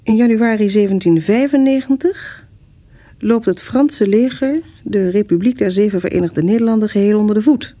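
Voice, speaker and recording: A woman giving scripted historical narration for a radio drama in a measured, formal storytelling manner. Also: mid-range voice, warm tone.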